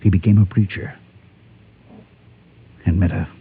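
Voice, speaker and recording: An actor playing a guilt-ridden former gunfighter turned preacher in a Western radio drama, speaking in a grave, halting, confessional way with a husky voice. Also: male voice, sits low, fairly even intonation, a little hiss or hum. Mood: haunted, confessional. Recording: muffled, tinny old-time radio recording.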